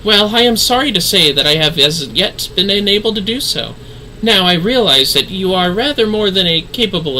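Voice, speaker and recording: A woman voicing a composed, gracious female leader in a fantasy game, speaking in formal, archaic, measured phrases. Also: medium pitch, pushed and bright, a little hiss or hum, poor audio.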